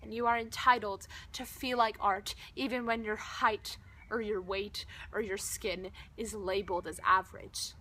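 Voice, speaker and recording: A young woman reciting slam poetry in an impassioned, emphatic, rhythmic way with dramatic pauses. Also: mid-range voice, fairly even intonation, bright, forceful tone, rough recording. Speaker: slam poet.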